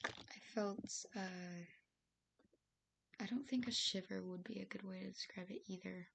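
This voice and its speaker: Raspy, hesitant woman thinking aloud. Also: mid-range voice, unhurried. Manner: hesitant, thinking aloud with many fillers and soft laughs. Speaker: streamer. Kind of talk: casual live-stream musing while writing. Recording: faint hiss behind the voice.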